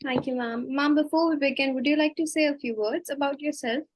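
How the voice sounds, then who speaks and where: A young woman with an Indian English accent hosts the interview with polite, deferential phrasing, tripping over a word now and then. Her voice is fairly high and sounds close and dry. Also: brisk, no room sound. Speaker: interviewer, host.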